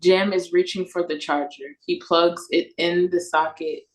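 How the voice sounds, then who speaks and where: A woman's voice: lowish voice, steady tone, measured pace, low-quality recording. A woman reading stage directions as narrator in a scripted read-through over a video call.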